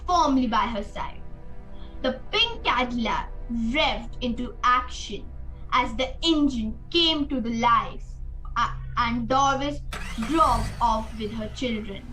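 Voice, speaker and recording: A woman's voice: on the high side, slow pace, sharp tone, soft background music, poor audio. A woman narrating an amateur radio play as scripted storytelling.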